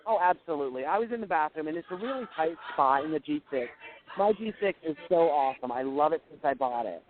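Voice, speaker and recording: A thin phone line brings in a flamboyant, high-pitched male caller, put on by a man for radio comedy. He gushes and boasts like a pampered globetrotter. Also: lively intonation, fast talker, lightly acted. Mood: gushing, boastful. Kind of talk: comedy call-in bit. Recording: quiet tinny phone line.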